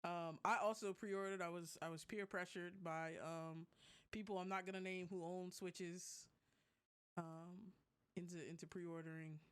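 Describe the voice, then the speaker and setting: A podcast co-host chatting casually and informally about tech, with animated, slangy delivery. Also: medium pitch, unhurried, clear, resonant tone. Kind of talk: casual tech and gaming chat.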